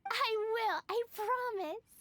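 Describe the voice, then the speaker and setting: A woman's voice: extremely high pitch, slow, fairly bright tone, no room sound, exaggerated voice. A woman voicing an eager, innocent little girl in a video game, with a childish, wide-eyed manner.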